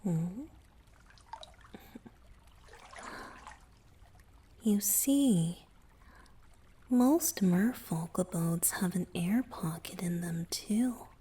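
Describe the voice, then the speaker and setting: Girl doing a soft breathy merman boyfriend for romantic asmr, super slow n tender, kinda teasing, whispery. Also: lowish voice, heavily acted. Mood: wistful, curious. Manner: tender, unhurried, softly teasing. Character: gentle, playful, affectionate young male merman boyfriend.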